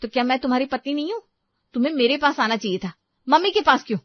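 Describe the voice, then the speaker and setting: A woman voicing a sharp, domineering wife and mother in a family storybook tale, bossy and scolding. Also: mid-range voice, animated, fairly quick, dry recording, muffled recording, lightly acted. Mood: angry, jealous. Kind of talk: dramatized storybook narration.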